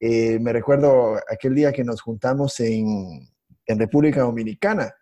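A man co-hosting a podcast, telling stories in a halting way with many restarts and fillers. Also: mid-range voice, warm tone. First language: Spanish. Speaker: podcast co-host, IT instructor. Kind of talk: casual podcast conversation.

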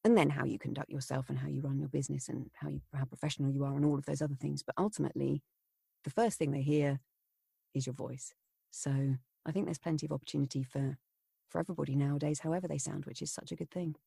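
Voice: medium pitch, level tone, quick pace, vocal fry, warm tone, husky, dry recording, studio quality. A voiceover artist hosting a podcast, chatting casually and thoughtfully, with many fillers and restarts. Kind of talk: casual chat.

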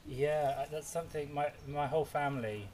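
A man speaking thoughtfully in casual chat, halting and repeating words as he gathers his thoughts. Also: a little high, darkish tone, slight background noise. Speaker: podcast guest. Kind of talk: casual chat while gardening.

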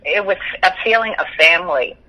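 Older lady on the phone, really sharp bright voice, chatty and rambly, telling old memories. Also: fairly low pitch, a little fast, slightly rough, poor audio. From Texas, United States. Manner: talkative, rambling. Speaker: interview guest sharing memories. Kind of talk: conversational reminiscence.

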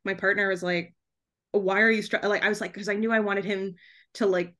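A woman talking casually as an interview guest, chatty and animated, often interrupting herself mid-thought. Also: medium pitch, fairly quick. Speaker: podcast guest, debut author.

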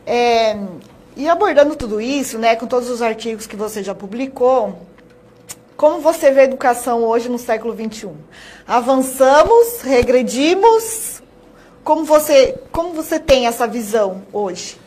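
A woman's voice: fairly high pitch, lively intonation, normal speed, darkish tone. A Brazilian woman asking conversational questions as the interviewer on a television programme.

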